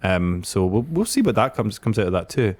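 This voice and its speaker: Scottish male podcast host, lowish register, casual and a little hesitant in delivery. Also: very animated, brisk. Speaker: podcast host, game shop staff. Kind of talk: casual panel discussion.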